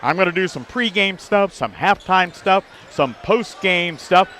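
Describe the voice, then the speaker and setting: High-voiced male sports host, noisy gym. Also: lively intonation, a little slow, pushed and bright. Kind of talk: sports pregame broadcast. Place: busy high school gym. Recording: noisy gym with bouncing basketballs.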